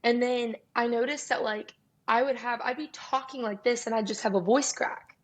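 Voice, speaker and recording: A young woman with a naturally raspy voice sits as a guest on an interview show. She talks in a loose, chatty way full of little fillers, her pitch sitting a touch high. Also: moderate pace. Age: young adult. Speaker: guest singer-songwriter. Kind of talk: casual interview storytelling.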